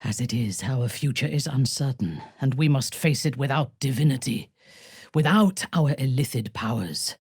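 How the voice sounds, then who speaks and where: A woman playing a haughty, commanding female warrior cleric with a husky voice, cruel yet intimate, in a fantasy video game. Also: very deep voice, expressive, darkish tone, no echo, clean studio sound, full character voice. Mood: cold disdain. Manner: commanding, haughty, deliberate, theatrical.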